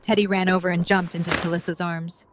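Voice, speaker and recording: A woman's voice: on the low side, flat delivery, brisk. Woman narrator with faint noise behind. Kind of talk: scripted narration.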